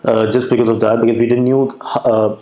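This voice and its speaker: A young man with a Pakistani accent, answering interview questions with a hesitant manner, full of pauses and restarts. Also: mid-range voice, flat delivery, warm tone, reverberant. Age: young adult. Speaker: guest entrepreneur.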